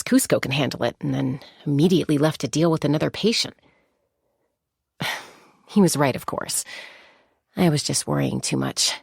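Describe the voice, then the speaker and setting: An actress playing a composed, confident young warrior woman in a video game, speaking in a measured, articulate and reflective way. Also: deep voice, vocal fry, a little mellow, husky, dry recording, clean studio sound, exaggerated voice. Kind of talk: scripted game character monologue.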